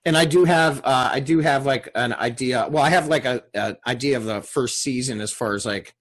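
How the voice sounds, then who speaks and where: A man hosting a casual video call discussion after a table read, rambling and halting as he talks. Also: medium pitch. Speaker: host and writer of a table read. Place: remote group video call.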